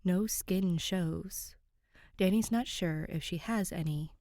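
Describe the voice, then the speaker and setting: A woman's voice: lowish voice, slow pace, warm tone, no echo. Woman narrating fiction, very dry sound. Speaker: podfic narrator.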